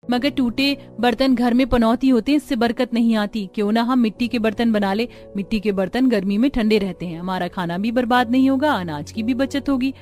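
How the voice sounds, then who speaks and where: A woman's voice: a little high, brisk, hardly any pauses, a little mellow, soft background music, close to an everyday voice. A woman voicing practical, outspoken young village daughters-in-law in a cartoon family story. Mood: resourceful, earnest. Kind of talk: Hindi cartoon story dialogue.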